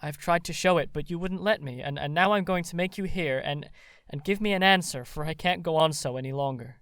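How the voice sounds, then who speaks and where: An actor playing an ardent, boyish young man in love in an audio drama, earnest and halting. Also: a little high, a bit bright, dry recording. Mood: determined, urgent.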